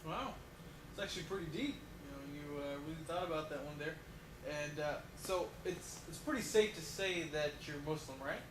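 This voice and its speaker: A man's voice: a little high, normal speed, lightly acted. A man playing a friendly, polite talk show host in a homemade mock interview, chatty and hesitant, on echoey, noisy audio. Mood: polite, welcoming.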